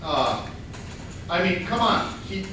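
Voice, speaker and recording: A man's voice: high-pitched, big pitch swings, slow, full character voice. A man playing an aggrieved, scheming party crasher in a comic whodunit, hesitant yet indignant, at a staged reading. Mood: aggrieved, confessing. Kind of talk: staged comedy play reading. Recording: echoey hall, far mic, faint noise.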